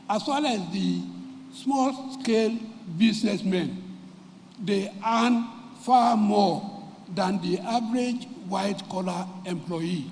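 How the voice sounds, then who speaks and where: A Nigerian man delivers a formal speech, losing his voice and clearing his throat as he goes. His voice sits fairly high, gravelly and unhurried. It carries through a reverberant hall over a faint background ambience. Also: darkish tone. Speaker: symposium speaker. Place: event hall.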